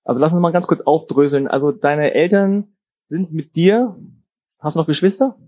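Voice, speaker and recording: A man's voice: fairly high pitch, normal speed, mellow, no echo, muffled recording. A man asking questions as an interviewer in a casual, conversational way.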